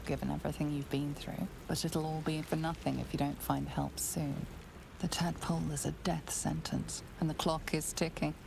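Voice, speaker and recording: A grave narrator of a dark fantasy game. Her low voice has a fry edge and an ominous, deliberate delivery, with noise behind. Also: exaggerated voice. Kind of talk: scripted second-person game narration.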